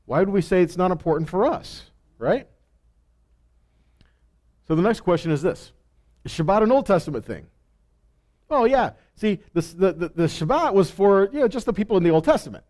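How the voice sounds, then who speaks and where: Male Bible teacher, high register, highly varied intonation, emphatic rhetorical questions and repeated phrases. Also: darkish tone. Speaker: preacher teaching scripture. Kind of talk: teaching sermon with rhetorical questions and scripture reading.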